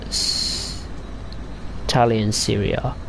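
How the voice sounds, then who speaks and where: A man's voice: lowish voice, level tone, takes it slowly, vocal fry, a bit bright, a little hiss or hum, low-quality recording. A man casually chatting and rambling while streaming a train simulator game. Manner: chatty, rambling about football tables while driving. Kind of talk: casual gameplay commentary.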